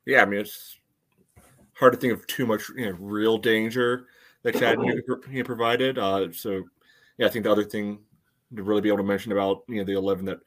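A man co-hosting a sports podcast, giving casual soccer analysis in a measured, conversational way peppered with "you know.". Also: on the low side, flat delivery, fairly bright tone.

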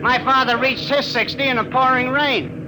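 An actor playing a friendly, talkative fellow passenger in a 1950s radio drama. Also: male voice, very high voice, fairly even intonation, normal speed, poor audio. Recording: old radio recording with crowd.

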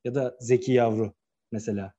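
A man's voice: medium pitch, steady tone, fairly quick, mellow. A man co-hosting a football podcast, talking casually and making opinionated points with emphatic repetition. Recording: dull, lo-fi sound.

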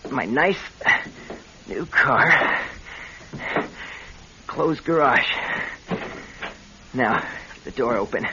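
An actor playing a scheming, two-faced husband in a radio drama, smooth-talking but nervy. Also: male voice, mid-range voice, expressive, very slow, long pauses. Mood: coldly gloating. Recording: dull, noisy radio recording.

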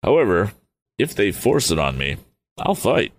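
Man reading aloud as a deliberate father-inventor, deep and gravelly. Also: very animated, a little hiss or hum. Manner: measured, deliberate. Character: steady older male inventor, cautious father.

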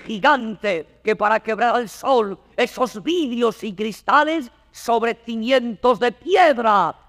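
A man's voice: very high-pitched, a bit bright, heavily acted. A man playing a proud, furious, despairing chained prince in classical verse theatre, declaiming with great passion. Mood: defiant fury. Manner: slow, impassioned verse declamation. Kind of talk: classical Spanish verse stage acting. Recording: studio theatre recording, long reverb.

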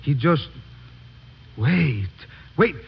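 An actor playing an earnest, excitable foreign police lieutenant in an old radio drama, speaking formally and repeating himself. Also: male voice, fairly high pitch, drawn-out words, gravelly, breathy edge, full character voice. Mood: uneasy, thoughtful. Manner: formal, careful phrasing, often repeating himself. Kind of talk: 1940s-50s radio drama acting. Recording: muffled, noisy old radio recording.

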